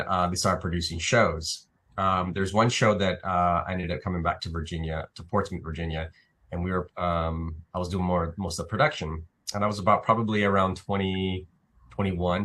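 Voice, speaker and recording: A man's voice: deep voice, fairly even intonation, normal speed, a bit bright. A man raised in Italy, guest on a talk show, talking hesitantly with many fillers. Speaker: actor and filmmaker guest. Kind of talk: interview.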